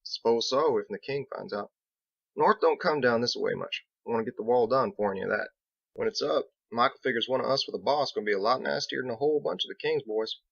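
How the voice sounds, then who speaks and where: A man's voice: medium pitch, a little fast, gravelly, no room sound, highs cut off. A man voicing a folksy, plain-spoken mason in an audiobook, drawling rustically with dropped words. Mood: candid, folksy. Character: Biggs, folksy plain-spoken male mason, friendly and shrugging. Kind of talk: audiobook character dialogue.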